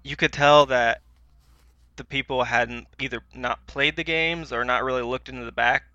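A man's voice: medium pitch, steady tone, moderate pace, sharp tone, dry recording, highs cut off. A man co-hosting a podcast, chatting casually in a hesitant, rambling way. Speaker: podcast co-host. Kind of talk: casual chat about films.

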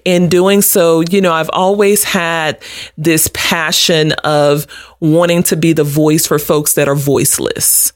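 A woman's voice: sits low, fairly even intonation, unhurried, no echo, studio quality. A middle-aged woman answering interview questions as an advocate, explaining things earnestly in a conversational way. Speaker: guest, domestic abuse advocate.

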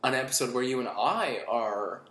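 A woman co-hosts a casual book podcast with dry, teasing jokes. Her voice is strikingly deep yet swoops widely in pitch. The recording carries a roomy echo. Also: lively intonation, moderate pace, a bit bright. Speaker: podcast co-host.

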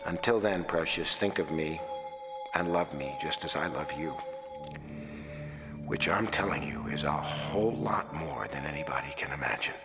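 A tender, devoted husband reads a love letter in a radio drama. His very deep voice hangs in long reverb over music. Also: normal speed. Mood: tender resolve.

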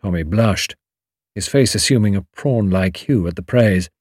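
A man's voice: fairly low pitch, moderate pace, darkish tone, no room sound, clean studio sound. A man with a British accent reading a novel as scripted audiobook narration.

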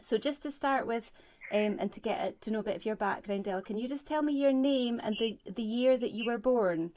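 A woman interviewing an older woman over a phone call, gently and hesitantly with many fillers. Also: fairly high pitch, fairly quick, a little hiss or hum. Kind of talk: oral history interview.

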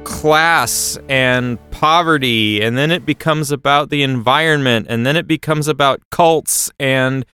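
A man with a mid-pitched voice chats casually about films. He explains in a halting, rambling way, as on a podcast panel, and music sits in the recording. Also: sharp tone, clear, resonant tone. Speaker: film discussion podcast panelist. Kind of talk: casual film review chat.

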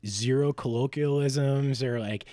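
A man's voice: mid-range voice, steady tone, slow pace, warm tone, no room sound. A young man hosting a podcast, chatting crudely and laughing often. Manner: swears freely, laughs a lot. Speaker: podcast host. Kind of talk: casual crude banter.

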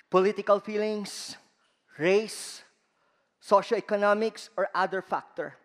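Filipino-accented male preacher, high voice, deliberate pace, big reverberant church. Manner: slow, emphatic delivery with rising stresses. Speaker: guest preacher. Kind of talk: sermon. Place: large church hall. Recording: long reverb of a church hall.

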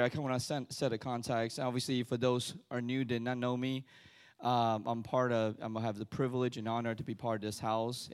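A middle-aged church elder from Taiwan tells his story from the pulpit. His voice sits at an ordinary male pitch. He talks loosely and conversationally, stumbling and restarting as he goes. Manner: conversational, halting with frequent restarts and fillers. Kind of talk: sermon testimony.

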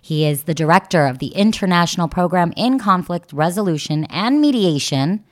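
Teasing, low-voiced woman podcast host. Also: lively intonation. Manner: teasing, quick jokes, loose and chatty. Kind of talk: playful interview chat.

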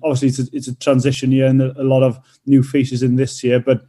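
Welsh male athlete, 30s, hesitant and halting, dark even delivery. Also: mid-range voice. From North Wales. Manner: hesitant, many fillers and restarts. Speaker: rugby player and teacher. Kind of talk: casual interview answers.

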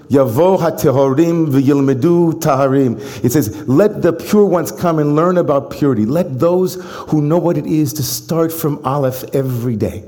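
A man giving a sermon as a rabbi, telling a story with rising emphasis and then slowing into soft, reflective phrases. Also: medium pitch. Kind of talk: sermon to a congregation. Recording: long hall reverb.

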